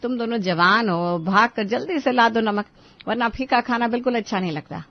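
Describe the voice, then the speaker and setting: Woman acting high, exclamatory nagging housewife. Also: moderate pace, dry recording, highs cut off, lightly acted. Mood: flustered. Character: nagging, teasing Indian housewife and mother.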